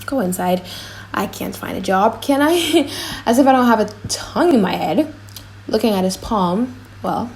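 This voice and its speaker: A woman's voice: mid-range voice, creaky, a little mellow, rough recording. A woman playing a cheeky, rambling young male servant clown in an audiobook drama, often breaking off mid-thought.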